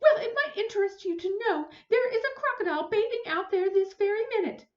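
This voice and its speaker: A woman voicing a shrieking, fussy middle-aged neighbor lady in a storybook reading, shrill and indignant. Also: expressive, a little fast. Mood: huffy. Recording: roomy, slightly dull recording.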